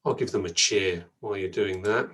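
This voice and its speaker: A man's voice: low-pitched, fairly quick, background noise. Chatty man hosting an online event. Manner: chatty, thanks people, frequent ums and restarts. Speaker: event host.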